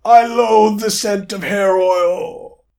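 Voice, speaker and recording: A man voicing a vengeful four-armed demon with a hollow, ringing voice that booms menacingly. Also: steady tone, takes it slowly, darkish tone. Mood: disgusted.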